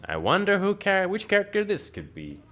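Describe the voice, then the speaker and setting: A man with a Finnish accent chatting and joking over a game at home, his voice jumping up when excited. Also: high-pitched, brisk, faint music behind. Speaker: game streamer. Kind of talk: casual game commentary. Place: home room.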